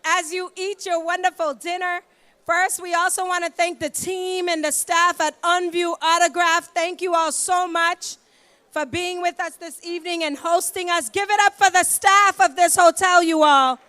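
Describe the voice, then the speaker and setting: Very high-voiced woman hosting a gala. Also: steady tone, moderate pace, bright, forceful tone. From Boston. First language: Haitian Creole. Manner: upbeat, rallies the room with "give it up". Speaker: master of ceremonies. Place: hotel banquet hall.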